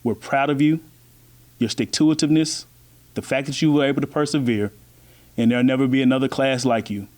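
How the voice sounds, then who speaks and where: A man's voice: mid-range voice, fairly even intonation, a little fast, a little mellow. A man giving a heartfelt, encouraging address to a graduating class.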